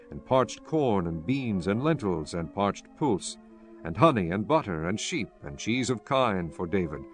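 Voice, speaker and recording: A man narrating scripture from a script in a measured, solemn storytelling manner. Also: lowish voice. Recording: faint music behind the voice.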